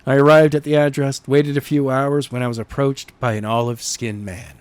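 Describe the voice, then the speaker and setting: A man's voice: medium pitch, level tone, a little fast, smooth, no room sound. A man working as an amateur narrator and podcast co-host, reading a novel and chatting casually about it.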